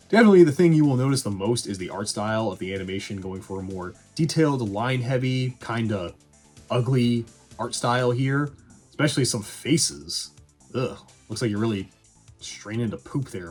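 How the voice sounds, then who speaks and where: Male video reviewer, low-pitched and husky; casual, irreverent, sweary commentary over background music. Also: darkish tone.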